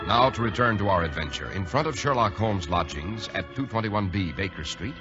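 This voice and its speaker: A man's voice: on the low side, lively intonation, a little fast, over music. A man announcing in the polished selling style of a 1940s radio commercial. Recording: dull lo-fi old radio recording.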